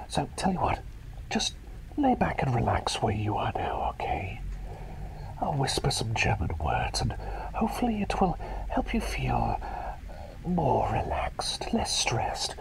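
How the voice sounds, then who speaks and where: A man voicing an eccentric, whimsical plague doctor in a breathy ASMR whisper, playful, rambling and softly reassuring. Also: medium pitch, huge pitch swings, unhurried, pauses often, exaggerated voice, low-quality recording. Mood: chatty, pleased, then soothing, attentive. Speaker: ASMR performer. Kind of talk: whispered ASMR roleplay, personal attention.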